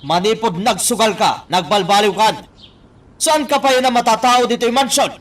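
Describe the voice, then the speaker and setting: A man's voice: sits high, normal speed, a bit bright, far from the mic. A man playing an exasperated, reproachful partner who scolds in an Ilocano radio drama. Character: reproachful, exasperated partner demanding a fair share.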